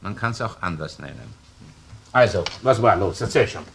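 Gruff, probing Viennese detective for a radio crime drama, lowish voice, informal and insistent, slightly noisy old recording. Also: male voice, lively intonation, normal speed. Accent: Viennese Austrian. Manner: questioning, colloquial, insistent. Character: sceptical, probing male police investigator, gruff and informal. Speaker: actor in a crime radio play. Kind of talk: German-language crime radio drama acting.

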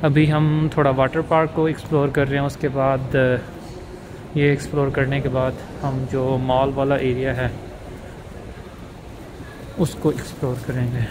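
A man's voice: mid-range voice, little pitch movement, moderate pace, pauses often. A man with a Pakistani Urdu accent walking and talking through a casual travel vlog, loose and chatty with frequent fillers. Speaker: travel vlogger. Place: outdoors at a large urban complex. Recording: loud outdoor noise behind voice. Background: loud urban street noise and traffic.

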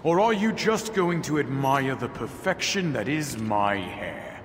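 An actor playing a vain, boastful warrior lord in a video game, with pompous, theatrical taunts. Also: male voice, mid-range voice, expressive, measured pace, close to an everyday voice. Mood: mocking. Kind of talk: video game character voice lines. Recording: echoing game audio with background noise.

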